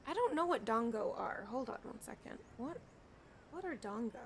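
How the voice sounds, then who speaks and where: A woman's voice: a little high, lively intonation, moderate pace, a little hiss or hum. A woman game streamer giving casual live commentary as she plays. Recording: game music behind the voice.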